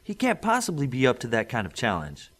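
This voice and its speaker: Man voicing a protective father, pitch swinging upward. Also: huge pitch swings, brisk, fairly bright tone. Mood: disbelieving. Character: protective, courteous father of a young boy.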